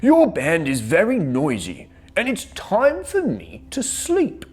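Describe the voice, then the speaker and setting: Woman as a cranky storybook bear, swooping pitch. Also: wildly swooping pitch, slow pace, darkish tone, breathy edge, soft background music, exaggerated voice. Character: cranky, sleepy big male bear in the jungle.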